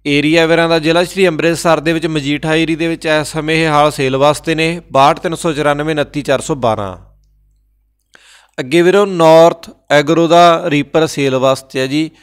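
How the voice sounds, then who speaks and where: Brisk Punjabi man reading sale listings. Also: a little high, fairly even intonation, clear, resonant tone. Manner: brisk, repeats prices and phone numbers, calls listeners brothers. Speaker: channel host listing farm machinery for sale. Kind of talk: classified sales rundown voice-over. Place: voice-over, not recorded at the pictured sites.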